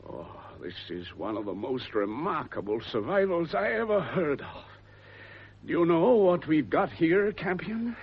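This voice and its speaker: An actor playing an authoritative, excitable gentleman investigator with an RP English accent in a radio drama. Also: on the high side, a little slow, highs cut off, slight background noise. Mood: fascinated.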